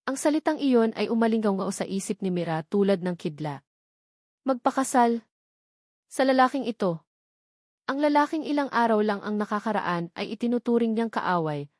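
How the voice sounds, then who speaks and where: A woman narrates a scripted romantic drama. Her voice sits at a middle pitch. The recording is close and dry, with hardly any room in it. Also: a little mellow, no echo. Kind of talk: scripted dramatic audiobook narration of a love story.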